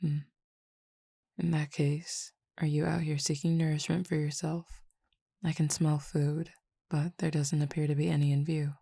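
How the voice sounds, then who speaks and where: A languid cupid who feeds on desire, voiced by a woman in an audio roleplay, speaking to the listener in a deep, husky, gravelly murmur. The delivery stays formal and measured, barely rising or falling, with a quiet teasing edge. It is recorded close and dry, with no room sound. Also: level tone, no echo, full character voice.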